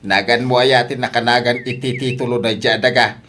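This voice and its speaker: A man's voice: medium pitch, fairly even intonation, a little fast, sharp tone, close to an everyday voice. A man playing a blunt, argumentative older uncle in an Ilocano audio drama, with a Filipino accent. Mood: disapproving. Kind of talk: radio drama acting.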